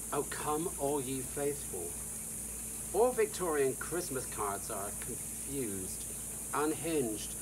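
A man in his sixties or older reads a whimsical storybook aloud in a theatrical, deliberate manner. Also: fairly high pitch. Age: 60s or older. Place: outdoors in a sunny garden. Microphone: camera a few feet away. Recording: outdoor hiss with insect noise.

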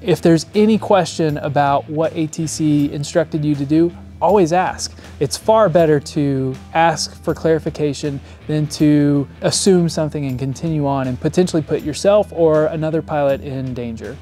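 A man in his thirties presents a lesson as an instructor, plain-spoken and explanatory. His voice is mellow and sits a little higher than average. He is miked close indoors, with some music behind him. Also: moderate pace, hardly any pauses. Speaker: flight instructor. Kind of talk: scripted instructional presentation to camera. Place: indoor studio set, seated. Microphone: lapel mic.

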